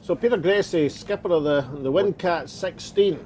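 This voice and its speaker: Male host, a touch higher pitched, chatty interviewer on a boat. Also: moderate pace, darkish tone, slight background noise. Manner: leading questions, restarts, tag questions. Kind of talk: conversational interview. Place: on a small boat offshore.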